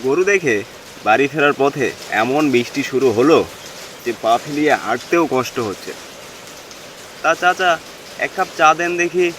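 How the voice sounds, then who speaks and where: Cartoon horror story lead: a man as an unafraid village vet narrating his own tale, medium pitch, heavy rain behind. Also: animated, unhurried, long pauses, a bit bright, poor audio. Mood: casual, unafraid. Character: village cattle doctor telling his eerie tale, unafraid. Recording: constant rain and wind noise behind.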